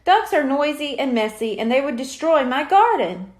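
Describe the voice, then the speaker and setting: A woman's voice: sits high, expressive, normal speed. A woman voicing a reluctant, good-natured mother in a children's story, polite but firm.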